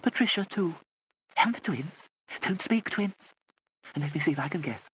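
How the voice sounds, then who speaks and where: A man's voice: a little high, animated, normal speed, muffled recording, slight background noise, voice pushed up, natural voice. Aunt Kit, a vague, deaf, old aristocratic lady, as a man puts her on. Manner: whispery, wandering, genteel.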